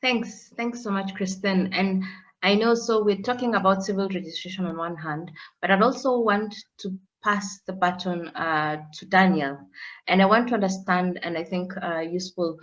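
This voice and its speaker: A woman moderating a webinar panel, asking questions in a halting way with uh fillers. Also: lowish voice, lo-fi sound.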